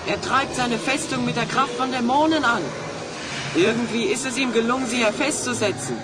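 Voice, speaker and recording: A man's voice: very high-pitched, moderate pace, very noisy. A man playing a bold, resourceful adventurer hero in a German children's audio drama, eagerly explaining his plans. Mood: urgent, excited.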